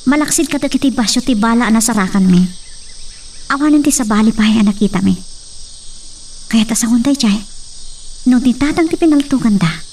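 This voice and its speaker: Resolute, worried daughter, radio drama. Dark mellow tone, earnest delivery, northern Philippine accent, faint night noise. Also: female voice, fairly high pitch, animated, brisk, pauses often, far from the mic. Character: determined, worried daughter searching for her father.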